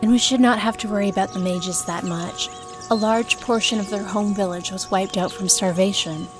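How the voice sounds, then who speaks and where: A woman's voice: medium pitch, steady tone, moderate pace, music in the background, close to an everyday voice. A woman playing a caring, practical elf mother, earnestly explaining, in a fantasy audio drama.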